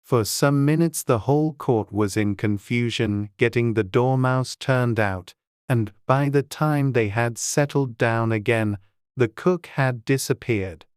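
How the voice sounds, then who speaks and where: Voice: medium pitch, a little slow, smooth, dry recording, studio quality. An audiobook narrator reading a children's classic in a scripted storybook style.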